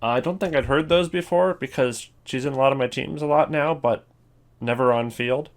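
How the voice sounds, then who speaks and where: A man's voice: mid-range voice, moderate pace. A man giving casual, off-the-cuff commentary as the host of a ranking video.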